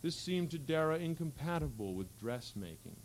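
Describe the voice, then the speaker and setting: A man reading literary fiction aloud at a live event, with a measured, articulate storytelling delivery. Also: on the high side, sing-song. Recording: faint hum and background noise.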